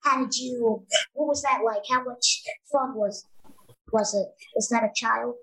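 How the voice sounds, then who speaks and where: Late-20s woman host, bright high voice with a husky edge, asks lots of questions. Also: fairly even intonation, a little slow, poor audio. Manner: curious, asks questions, agreeable. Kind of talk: casual interview.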